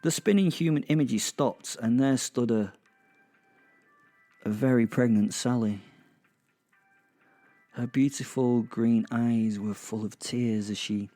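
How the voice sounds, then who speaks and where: A remorseless werewolf, played by a man, narrates a dark audio drama in the first person. The voice is dark and mellow, wry and cocky, musing deliberately over faint music. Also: lowish voice. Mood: brooding. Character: remorseless male werewolf killer, wry, cocky, centuries old. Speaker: narrator and protagonist.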